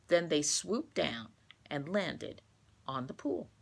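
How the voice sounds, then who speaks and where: Lively storybook woman with a creaky edge. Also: deep voice, slow pace. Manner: expressive, clearly paced storytelling for young listeners. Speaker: children's story reader. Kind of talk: read-aloud children's storybook narration.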